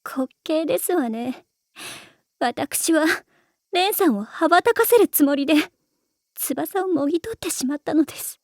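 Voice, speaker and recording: A woman's voice: high-pitched, animated, moderate pace, a little mellow, no echo, clean studio sound. A woman voicing a proud, self-blaming teenage schoolgirl in an anime, with formal, refined ladylike speech. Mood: bitter, despondent. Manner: formal, refined ojou-sama way of speaking. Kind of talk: anime voice acting.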